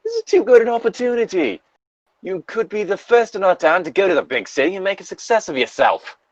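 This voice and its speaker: A man's voice: high-pitched, very animated, brisk, no echo, dull audio, pitched above the performer's own voice. A man voicing a fiery young woman in a story, urging her friend on emphatically.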